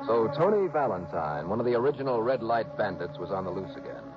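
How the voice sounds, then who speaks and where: An actor playing a cocky, self-assured freelance insurance investigator, acting and narrating in an old-time radio drama. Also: male voice, fairly low pitch, big pitch swings, fast talker, over music. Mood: wry narration. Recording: dull, noisy old radio recording.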